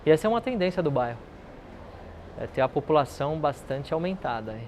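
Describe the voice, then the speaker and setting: São man amid loud street noise. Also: on the high side, big pitch swings, a little fast. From São Paulo, Brazil. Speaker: local business owner interviewee. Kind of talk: documentary interview. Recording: noisy outdoor urban traffic.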